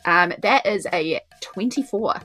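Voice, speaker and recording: Mid-pitched New woman playing tabletop. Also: lively intonation, unhurried, fairly bright tone, faint music behind. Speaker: tabletop game player. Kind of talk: casual improvised game chat.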